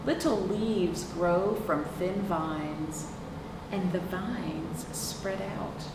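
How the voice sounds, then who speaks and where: A woman's voice: fairly low pitch, very slow. A woman hosting a children's storytime, reading aloud expressively and prompting young listeners with simple questions. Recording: roomy, distant mic, clearly noisy.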